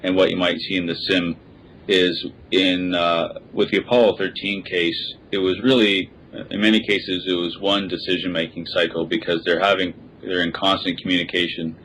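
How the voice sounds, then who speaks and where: A man's voice: low voice, flat delivery, moderate pace, a bit bright, a little hiss or hum, low-quality recording. A man giving an explanation as an expert podcast guest. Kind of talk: explanatory discussion.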